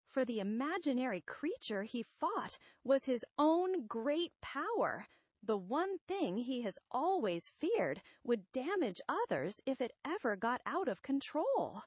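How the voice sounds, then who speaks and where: Armored male supervillain, acted by a woman: imperious, grandiose, drawn-out delivery, dry close sound, comic-book story. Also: sits high, big pitch swings, no echo, pitched above the performer's own voice, exaggerated voice. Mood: gloating. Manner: pompous, grandiose, deliberate.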